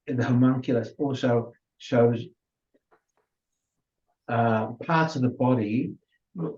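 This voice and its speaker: An older man whose first language is Hungarian gives an unhurried, informal lecture, often restarting his sentences. His voice is mellow and sits in a middle pitch. The recording is dull and lo-fi. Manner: unhurried, with many restarts and fillers.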